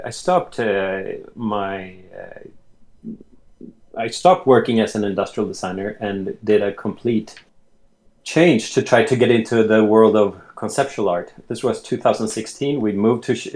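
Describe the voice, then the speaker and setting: Guy, late 30s, kinda low voice, chatting easy in an interview, lots of restarts. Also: darkish tone. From Sweden. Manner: unhurried storytelling with frequent restarts. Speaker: interview guest. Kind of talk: casual conversation.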